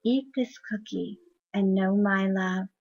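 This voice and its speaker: A woman's voice: medium pitch, takes it slowly, gravelly, a little mellow, faint music behind. A woman voicing a tender, loving queen. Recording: dull lo-fi recording.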